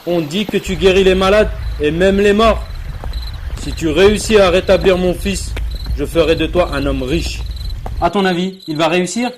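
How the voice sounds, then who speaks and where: A man playing an anxious, imperious rich lord and father, quick to anger, in a dramatic animated religious story. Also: sits high, a little hiss or hum, natural voice. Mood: haughty, suspicious. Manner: commanding, dramatic, accusing. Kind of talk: French-language animated religious story dub.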